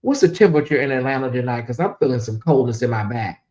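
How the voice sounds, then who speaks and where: Hoarse man rambling on a livestream. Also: mid-range voice, lively intonation, quick pace, a little mellow. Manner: rambling, repetitive, sarcastic asides to viewers. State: hoarse, losing his voice. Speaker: livestream host. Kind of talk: casual livestream commentary. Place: home in Atlanta, cold room.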